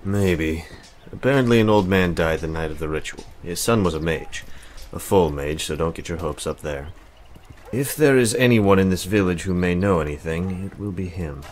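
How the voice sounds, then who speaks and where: Casting: male actor as grim, absent-minded necromancer, very low voice, curt delivery, visual novel game. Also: moderate pace, slight background noise, natural voice. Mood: preoccupied, measured. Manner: curt, preoccupied.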